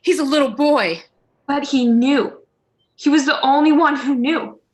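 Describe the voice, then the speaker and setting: A woman's voice: high-pitched, unhurried. A woman playing an alarmed, accusing neighbour in a radio play, speaking urgently and insistently in an echoey room. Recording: roomy, echoey home recording.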